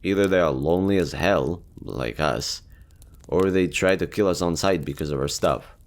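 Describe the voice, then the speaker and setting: A man's voice: low-pitched, normal speed. A man acting as a lonely, easygoing survivor of a nuclear apocalypse in an audio roleplay, talking casually and candidly with some hesitation. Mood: casual, upbeat.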